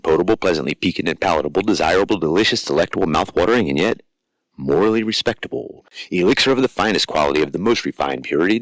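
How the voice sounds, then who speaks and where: A man doing a deep-voiced fast-talking elixir peddler. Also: a bit bright, dry recording, rough recording. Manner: florid, alliterative sales patter. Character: smooth-talking male elixir peddler, grandiose. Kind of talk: radio drama acting.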